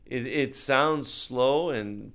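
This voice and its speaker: Older American man, creaky voice. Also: mid-range voice, very slow, fairly bright tone. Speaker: interview guest, film maker. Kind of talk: casual conversation about films and politics.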